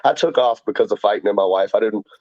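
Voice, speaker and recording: A man guesting on a podcast, talking fast and rambling excitedly, swearing freely and acting out what others said. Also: fairly low pitch, no room sound, highs cut off. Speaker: guest fighter. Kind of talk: casual podcast chat.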